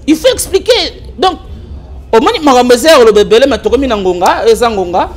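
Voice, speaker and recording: High-voiced Congolese man, animated debater. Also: normal speed. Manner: animated, argumentative, mixes French and Lingala. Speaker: political commentator. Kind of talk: political talk show chat.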